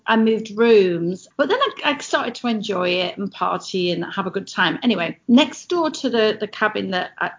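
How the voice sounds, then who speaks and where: English woman, middle-aged, lively storyteller, mid-pitched voice, muffled lo-fi sound. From England. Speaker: guest, former cruise ship entertainer. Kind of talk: casual chat.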